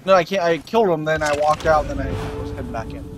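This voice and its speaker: A man's voice: on the high side, fast talker. A man chatting casually and sarcastically as he co-hosts a video game playthrough. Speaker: gaming video co-host. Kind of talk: casual gameplay banter. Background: video game ambience.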